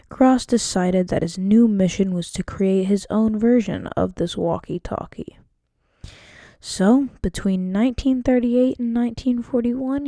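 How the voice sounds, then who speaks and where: A woman's voice: medium pitch, warm tone, no room sound, clean studio sound. A woman narrating a student history project, reading carefully from a script with an occasional stumble. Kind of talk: scripted narration read aloud.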